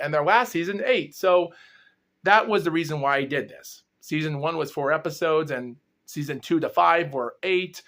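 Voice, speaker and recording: A man's voice: a little high, a bit bright. A young man hosts his own paranormal vlog, sounding groggy and under the weather. He rambles in long run-on stretches without stopping for breath, stumbling and restarting now and then. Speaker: host of a paranormal exploration series. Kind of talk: rambling solo vlog recap.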